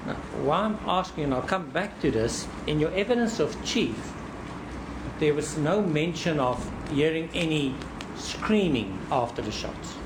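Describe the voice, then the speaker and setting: Deliberate probing male counsel. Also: fairly high pitch, expressive, noisy background. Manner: deliberate, repeats words while probing. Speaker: cross-examining counsel. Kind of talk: courtroom cross-examination.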